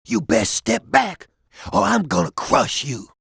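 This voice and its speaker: A brutish henchman for a video game. The voice is heavily rasped and gravelly yet pitched high, blunt and full of threat. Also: huge pitch swings, measured pace, a bit bright, no room sound, studio quality, exaggerated voice. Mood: threatening.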